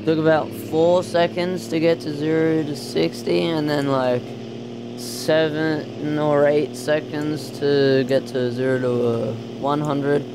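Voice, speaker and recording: A man's voice: a little high, little pitch movement, takes it slowly, background noise. A man giving casual, off-the-cuff commentary as a gaming video host, in a hesitant, rambling way. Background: car engine sounds from a driving game.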